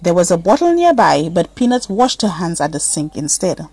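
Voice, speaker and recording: A woman's voice: fairly low pitch, big pitch swings, normal speed, darkish tone, breathy edge, no room sound. A woman narrating a children's story as a scripted read-aloud.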